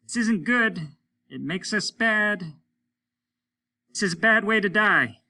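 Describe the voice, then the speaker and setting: A man's voice: high-pitched, animated, slow, bright, forceful tone, pitched above the performer's own voice, heavily acted. A man reading an audiobook, voicing a blunt, embittered, repentant aging male astronaut who speaks emphatically, as if confessing. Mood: ashamed, bitter. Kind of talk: dramatic audiobook character reading.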